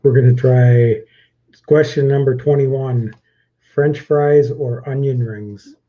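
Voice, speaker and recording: A flat-toned man in his fifties. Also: mid-range voice. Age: 50s. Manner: even, measured, restarts with ums. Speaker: co-host of a livestream. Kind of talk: casual question-game chat. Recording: dull lo-fi stream audio.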